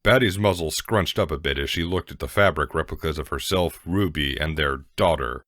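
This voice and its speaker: A man with an extremely deep voice narrates a story from a script. The recording is dry and close, with little room sound. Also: moderate pace, a bit bright, no echo.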